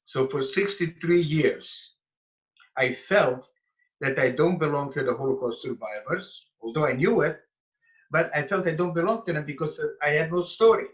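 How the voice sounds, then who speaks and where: Older man, eighty, from bukovina, slow careful storytelling, dull video call sound. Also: on the high side, lively intonation. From Bukovina (Romania/Ukraine). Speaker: Holocaust survivor guest.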